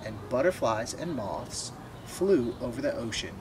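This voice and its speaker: Young guy reading a kids book out loud outside, mid voice, clear background noise. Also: medium pitch, lively intonation, unhurried. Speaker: storybook reader. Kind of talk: children's picture book read-aloud. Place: outdoors by a wooden fence.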